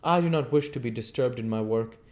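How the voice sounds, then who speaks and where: Storybook role: imperious, secretive male investigator played by a man, lifted pitch, formal and emphatic delivery. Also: darkish tone, lightly acted. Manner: formal, emphatic, deliberate phrasing. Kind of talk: storybook character voice in an audiobook reading.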